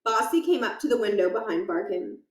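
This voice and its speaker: A woman narrating a children's book aloud in an expressive storytelling style. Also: medium pitch, a little fast, echoey room, rough recording.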